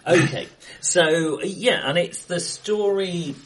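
A man's voice: high-pitched, takes it slowly, poor audio. A man co-hosting a casual film discussion, talking face to face with someone in a live-sounding room. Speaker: podcast co-host. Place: indoor room, recorded face to face. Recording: acoustically bright live room.

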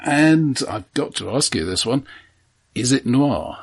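A man co-hosting a casual film discussion in a measured, analytical way, often repeating words as he frames a point. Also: medium pitch, big pitch swings, creaky, husky. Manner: measured, analytical, halting repetitions. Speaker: film podcast co-host.

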